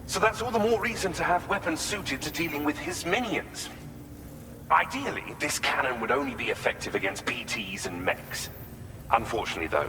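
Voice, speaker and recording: A man's voice: medium pitch, in a large hall, background noise, lightly acted. An actor playing a courteous, eager male researcher in a video game, speaking in formal, articulate phrases. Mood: concerned. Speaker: researcher character. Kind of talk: video game cutscene dialogue.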